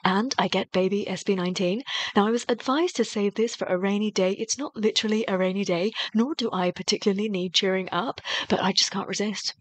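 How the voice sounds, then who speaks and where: A woman's voice: mid-range voice, fairly quick, hardly any pauses, dry recording. A woman giving casual reaction commentary as the host of a video channel.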